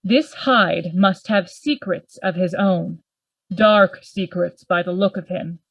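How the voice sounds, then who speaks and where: A woman playing a grave, worried gentleman lawyer in a Gothic radio play, formal and earnest in manner. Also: fairly low pitch, slow, no room sound. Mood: troubled, resolute.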